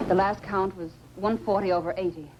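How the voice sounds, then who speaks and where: A woman's voice: mid-range voice, steady tone, fairly quick, muffled recording, close to an everyday voice. A precise female doctor with a mid-range voice, played by an actress. She sounds serious and clinical, in 1950s science fiction film manner. Faint noise runs through the old soundtrack. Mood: uneasy.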